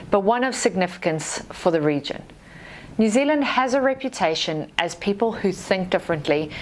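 A woman with a Zimbabwean accent and a fairly low voice delivers a prepared talk. Her manner is formal and measured, as a manager addressing a professional conference. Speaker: army lessons manager giving a presentation. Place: small indoor studio with dark backdrop. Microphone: lapel mic.

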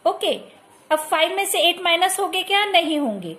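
A woman's voice: very high-pitched, very animated, moderate pace, sharp tone. A woman teacher with an Indian accent who explains a maths exercise step by step, mixing Hindi and English. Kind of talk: maths lesson over a workbook.

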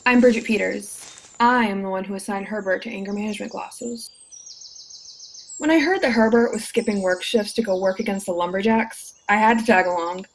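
A woman's voice: medium pitch, fairly quick, vocal fry. A woman playing a prim, officious, disapproving supervisor in an audio drama.

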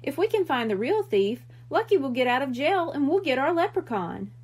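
A woman's voice: sits high, sing-song, normal speed, a little mellow. A woman voicing a curious, puzzled young boy in a storybook mystery. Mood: reasoning.

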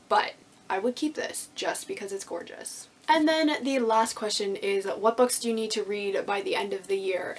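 Female, 20s, slightly raspy voice; chatty, emphatic book-vlog delivery with lots of slang. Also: mid-range voice, a bit bright. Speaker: book review vlogger. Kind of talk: casual chatty vlog to camera. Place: small indoor room with bookshelves.

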